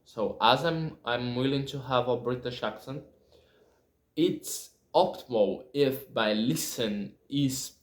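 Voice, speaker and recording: A Brazilian man with a Portuguese-speaker's accent talks casually in an echoey room. His pace is slow and his pitch sits in the middle of the male range. He halts, hunts for words and corrects himself as he goes. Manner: halting, self-correcting, searching for words. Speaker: video creator. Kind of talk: casual talk to camera about language learning.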